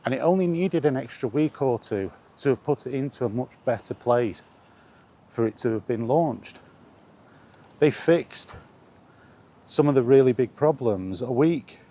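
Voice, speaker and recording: A man's voice: medium pitch, lively intonation, measured pace, pauses often, dark tone. A man chatting casually and bluntly in a rambling, resigned way, as a vlogger walking outdoors. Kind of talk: casual walking vlog commentary on video games.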